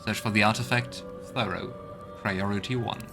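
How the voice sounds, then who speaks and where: A man's voice: lowish voice, steady tone, moderate pace, creaky. A man giving casual let's play commentary, thinking aloud and reading game text out as he goes. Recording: game music behind the voice. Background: video game music.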